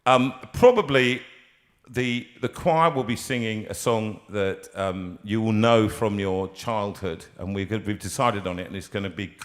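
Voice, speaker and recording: A man's voice: fairly low pitch, measured pace. A man, a singer, chatting casually on a live stream from a music room, rambling with many fillers and restarts.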